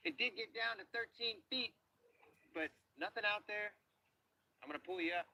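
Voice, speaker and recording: A man working as an underwater search diver, narrating the search step by step outdoors by ponds and calmly reporting depths and findings. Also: high-pitched, normal speed, creaky, rough recording.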